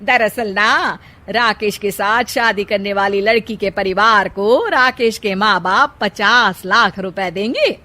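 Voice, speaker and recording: A woman voicing a scheming, melodramatic middle-aged aunt with a drawn-out, wheedling delivery in a moral story. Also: mid-range voice, sharp tone. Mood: sly, wheedling. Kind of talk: Hindi moral story dramatization.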